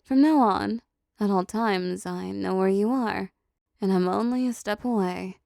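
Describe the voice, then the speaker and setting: A woman voicing a possessive, seductive female demon in an intimate audio roleplay, softly teasing, dominant and doting. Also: medium pitch, unhurried, vocal fry, no echo, clean studio sound.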